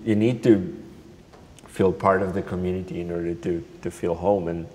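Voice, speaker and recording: A man's voice: low-pitched, steady tone, normal speed, a little mellow. A man from Slovakia speaking as a panel guest in a friendly, lightly amused way. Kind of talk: panel discussion. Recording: long hall reverb, faint noise.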